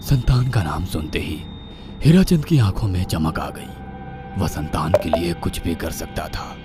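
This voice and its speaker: A man's voice: low voice, animated, a little slow. A man narrating a scripted horror story dramatically, with music playing behind him. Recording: loud background music.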